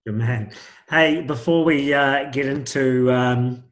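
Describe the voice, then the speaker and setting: A man's voice: mid-range voice, slow. Chatty male host, mid voice. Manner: friendly, chatty, many fillers. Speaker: podcast host. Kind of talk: casual interview chat.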